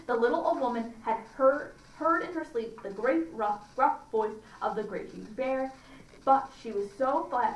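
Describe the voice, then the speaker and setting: A woman reading a children's story aloud for a library audience, deliberately and with small stumbles. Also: a little high. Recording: echoey room, distant mic, faint noise.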